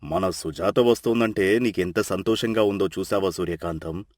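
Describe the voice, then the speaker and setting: A man playing a calm older father-in-law in a family story, measured and persuasive as he reasons. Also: deep voice, no room sound, studio quality. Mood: gently reproving.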